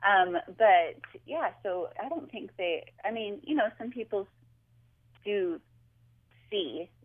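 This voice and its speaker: A woman's voice: medium pitch, animated, takes it slowly. A woman talking informally and chattily as an author guest in a casual interview, heard over a telephone. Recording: tinny, narrow telephone line.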